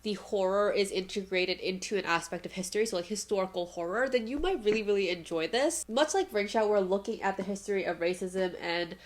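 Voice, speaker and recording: A woman's voice: mid-range voice, fairly quick, hardly any pauses. A woman with a stuffy, nasal voice from allergies, chatting casually about a book she just finished, laughing lightly now and then. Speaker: book vlogger. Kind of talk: casual book review to camera.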